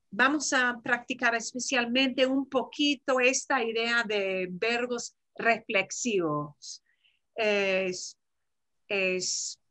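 An older woman in her sixties leads an online Spanish lesson through a headset mic. Her voice is bright and forward, and she moves easily between Spanish and English, explaining step by step with chatty little asides. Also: fairly high pitch. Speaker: Spanish teacher. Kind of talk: online class teaching. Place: home video call.

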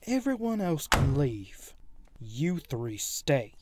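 A man playing a stern, fed-up high school teacher in an audio drama, scolding and commanding. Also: very animated, slow pace, very noisy.

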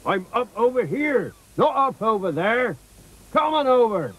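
A man's voice: very high voice, big pitch swings, measured pace, a bit bright, voice pushed up, exaggerated voice, low-quality recording. A man voicing a jolly, kindly old boatman for a children's story. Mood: hearty calling. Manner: chuckling, rustic nautical turns of phrase.

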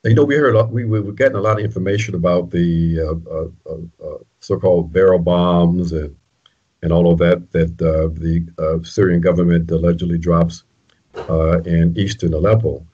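Deep husky male host, halting. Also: steady tone, warm tone. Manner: halting, many "uh" pauses. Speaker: interview host. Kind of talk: interview questions. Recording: dull lo-fi audio.

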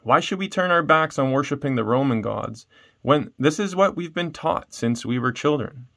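A man's voice: medium pitch, expressive, moderate pace, gravelly, fairly bright tone. Storybook Roman chief guard, male, voiced by a man reader: skeptical, blunt, emphatic. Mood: objecting.

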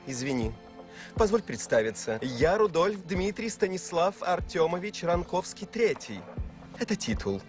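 A man voicing a vain, flattering young prince in an animated film, with a pompous, theatrical manner. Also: high voice, measured pace, soft background music. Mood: smooth, flattering.

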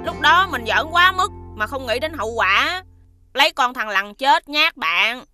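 A woman voicing a mischievous, feisty but loyal young schoolboy in an animated children's cartoon style. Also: sits high, a little slow. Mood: grateful, cheerful. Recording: music behind the voice.

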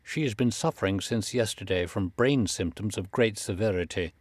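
A solemn young gentleman doctor, acted by a male narrator, fairly low in pitch. He speaks with stern, formal authority in a dry, close storybook recording. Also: moderate pace, dry recording, lightly acted. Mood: grave, firm.

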